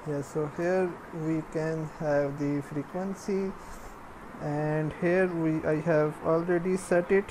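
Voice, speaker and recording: A woman with a fairly low voice presents a programming screencast at a very slow pace. She speaks in short, halting pieces, walking through each step. Faint noise sits behind her. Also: steady tone. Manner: halting, piecemeal phrasing while typing. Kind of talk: step-by-step screencast narration of coding.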